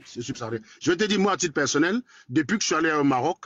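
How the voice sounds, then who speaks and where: A man's voice: on the high side, lively intonation, fairly bright tone, dry recording, highs cut off. A man in his forties with a Cameroonian accent, speaking bluntly and crudely in a casual live chat. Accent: Cameroonian French. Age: 40s. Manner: blunt, crude and combative, quick and talkative. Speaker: guest in a live debate.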